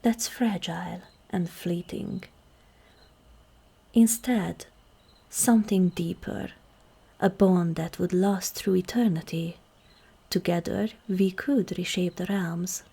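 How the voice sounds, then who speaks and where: A woman playing a regal, seductive ancient demon queen in an ASMR roleplay, measured and commanding, with a husky, breathy, creaky voice that often softens toward a whisper. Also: on the low side, steady tone, exaggerated voice. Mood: solemn, persuasive. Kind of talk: ASMR roleplay, scripted monologue to the listener.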